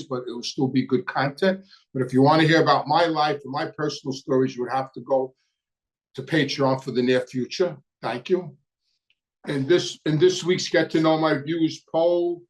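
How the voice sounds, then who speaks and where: An older man with a New York accent hosts a podcast, talking casually to his viewers in short, plain-spoken phrases with frequent pauses. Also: medium pitch, poor audio. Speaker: podcast host.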